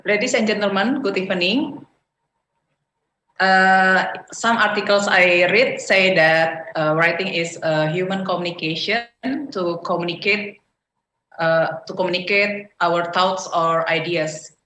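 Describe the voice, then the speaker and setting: A woman's voice: fairly low pitch, fairly even intonation, a little slow, sharp tone, roomy sound, slight background noise, rough recording. A woman with an Indonesian accent giving a prepared speech at an online club meeting, hesitating often with "uh" fillers. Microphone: video call.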